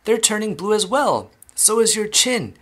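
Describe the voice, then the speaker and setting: A man voicing a shrill, bossy, panicking mother in a children's story, shrieking in alarm. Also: very animated, moderate pace, a bit bright, full character voice. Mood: hysterical.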